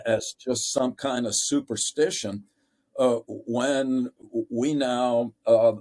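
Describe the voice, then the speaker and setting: Male panel host, lowish voice, very measured pace, academic and halting. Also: darkish tone. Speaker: panel moderator. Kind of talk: thoughtful moderated discussion.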